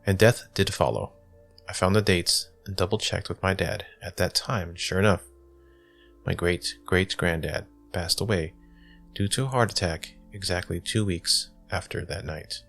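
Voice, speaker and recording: A man narrates a true ghost story in first person. His voice sits very low, with a lot of fry and grit in it. Music plays softly behind him. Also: moderate pace. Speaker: guest story reader.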